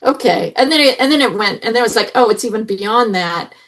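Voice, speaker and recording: A woman's voice: a little high, expressive, a little fast, fairly bright tone. A woman sharing her opinions in a casual podcast review discussion. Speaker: podcast panelist.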